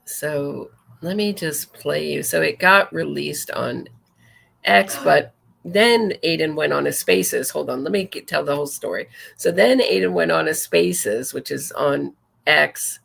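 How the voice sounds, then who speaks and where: A woman's voice: low-pitched, a little slow, creaky, fairly bright tone, slightly rough. A woman hosting a true crime talk show, commenting casually and reading messages aloud, often digressing and correcting herself as she goes. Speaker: podcast host.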